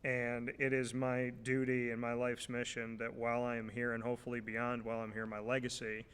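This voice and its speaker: A man from New York hosting a talk show, telling lively, jokey stories in casual interview chat. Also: mid-range voice, a little fast, clean tone, long reverb tail.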